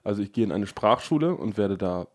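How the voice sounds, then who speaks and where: A man's voice: on the low side, expressive, a little fast. A man chatting casually as a podcast host, laying out absurd plans in earnest detail. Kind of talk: casual comedic chat.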